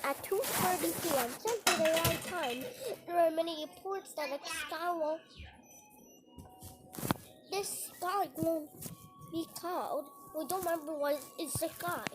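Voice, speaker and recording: A young-sounding amateur creator reads a mock emergency alert announcement, stumbling and garbling words in a halting way, on a homemade recording. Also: very high voice. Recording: noisy homemade recording with clicks.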